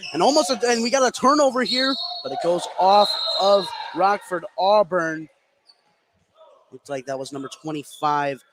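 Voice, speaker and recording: Guy w a high voice doing radio basketball play by play, noisy gym behind him. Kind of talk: live radio sports play-by-play. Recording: noisy live gym broadcast.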